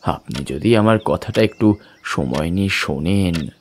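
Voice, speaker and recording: A man playing a polite, hesitant client in an audio detective drama, speaking deferentially and haltingly. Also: sits low, animated, slight background noise.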